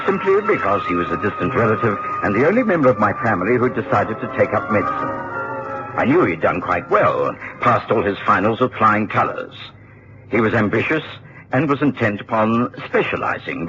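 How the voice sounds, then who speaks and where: An actor playing a kindly, measured English physician of middle age in a radio drama, speaking with formal, avuncular precision. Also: male voice, fairly low pitch. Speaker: narrator and supporting character in a radio play. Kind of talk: British radio drama acting and storytelling. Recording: muffled, noisy old radio broadcast tape.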